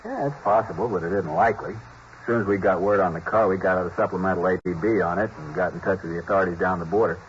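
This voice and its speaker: A low-voiced detective partner, played by an actor, reports facts quickly and plainly. It sounds like a muffled old radio broadcast with faint hiss behind it. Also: male voice, close to an everyday voice. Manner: brisk, businesslike reporting. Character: steady male police detective partner. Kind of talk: 1950s radio police drama.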